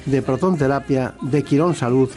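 A man's voice: medium pitch, normal speed, dark tone, faint music behind. A man hosting a health radio show, introducing topics and interviewing in a conversational way, often repeating his phrases.

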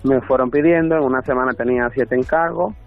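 A man's voice: medium pitch, quick pace, vocal fry. Dominican man on a thin, phone-like sound. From Dominican Republic. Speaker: interview guest, artist and designer. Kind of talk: conversational interview answers. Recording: thin phone-like band, faint music.

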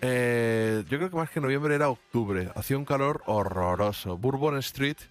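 A man's voice: medium pitch, moderate pace, soft background music. A man, co-hosting a podcast and chatting casually in a hesitant way, with many restarts. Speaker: podcast co-host. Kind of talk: casual chat.

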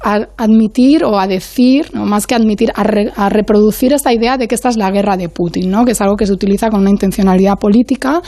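A woman speaking as an academic guest on a panel, in a measured, analytical way, often searching for her next word. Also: medium pitch, warm tone, no room sound, studio quality.

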